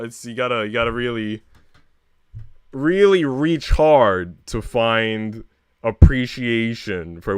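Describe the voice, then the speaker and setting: Low, clear-voiced man chatting casually on stream. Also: a bit bright, no room sound, studio quality. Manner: rambling, slangy, freely swearing. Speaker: streamer reading a visual novel aloud. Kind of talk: casual livestream chat.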